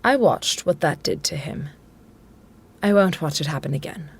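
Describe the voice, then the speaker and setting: A woman's voice: low voice, lively intonation, moderate pace, husky, full character voice. A woman playing a middle-aged widowed queen and protective mother in an audio drama, with an imperious, reproachful manner. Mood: pained, tender. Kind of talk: modern audio drama acting.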